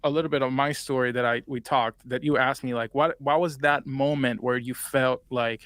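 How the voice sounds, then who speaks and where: A man's voice: medium pitch, steady tone, normal speed, fairly bright tone, no room sound. A man in his twenties from Puerto Rico, with a Spanish accent, telling his story as an interview guest in a rambling way with many fillers. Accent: Puerto Rican Spanish. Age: 20s. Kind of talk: casual interview chat.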